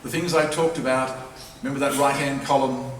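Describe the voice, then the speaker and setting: A man's voice: medium pitch, fairly even intonation, brisk, fairly bright tone. A man giving a public lecture as a professor, speaking in an emphatic, persuasive way and repeating key phrases for stress. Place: large lecture hall at a university. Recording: echoey hall, distant mic, faint noise.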